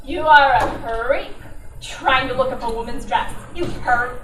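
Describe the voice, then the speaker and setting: A scornful, commanding radio-play dame, acted by a woman with a high voice. She is sarcastic and suspicious. She sounds off the mic in a roomy space, with faint noise. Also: a little slow, a bit bright, far from the mic. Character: sharp-tongued, bossy, suspicious brunette sister, secretly a gangster. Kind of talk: old-time radio drama acting.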